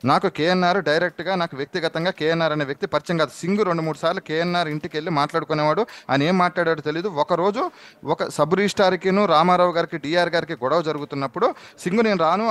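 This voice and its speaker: A man's voice: on the high side, a bit bright. A man phoning in to a live show, explaining his side insistently and politely, often repeating himself. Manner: insistent, repetitive, polite pleading. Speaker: phone-in guest giving his side. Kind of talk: live phone interview, explaining. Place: on a phone call to a live TV show.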